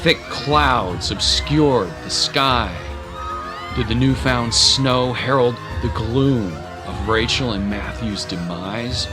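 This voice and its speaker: Guy narrating an audio drama, really unhurried and serious, loud score behind him. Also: medium pitch. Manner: measured, solemn storytelling. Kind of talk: dramatic scripted story narration. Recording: dramatic music bed. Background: music.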